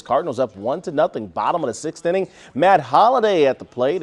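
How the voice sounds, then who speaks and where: Guy doing tv sportscast highlights, medium pitched voice. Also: darkish tone. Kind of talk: scripted sports newscast with highlight commentary.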